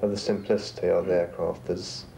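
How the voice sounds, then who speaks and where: A man giving a reflective documentary interview, speaking haltingly with pauses in the middle of his phrases. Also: sits low, little pitch movement, gravelly, a crowd in the background, low-quality recording. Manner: halting, pausing mid-phrase.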